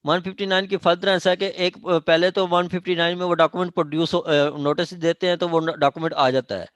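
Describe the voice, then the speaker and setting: A man's voice: a little high, fairly even intonation, a bit bright, smooth, no room sound. Pakistani man lecturing in a dry acoustic. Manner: halting, explanatory, frequently checking understanding. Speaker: law lecturer. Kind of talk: informal classroom legal explanation with question and answer.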